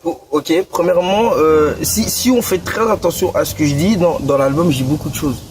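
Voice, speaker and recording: Belgian guy in his twenties, slangy and halting, slightly high voice, distant mic. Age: 20s. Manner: relaxed, slangy, many restarts and fillers. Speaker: guest rapper. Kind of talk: casual interview. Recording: distant mic with clear background noise.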